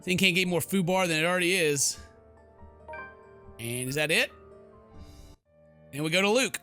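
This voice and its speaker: A man's voice: sits high, normal speed, pushed and bright, faint music behind. A man doing goofy, slangy let's play commentary, reading game text aloud with theatrical exclamations. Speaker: gaming YouTuber.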